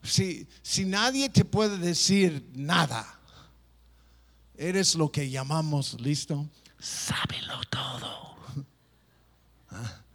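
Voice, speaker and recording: Middle-aged pastor guy, kinda high voice, takes his time, jokey. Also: lively intonation, very slow, pauses often, a bit bright. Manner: playful, mimics people, stop-start phrasing. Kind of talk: sermon.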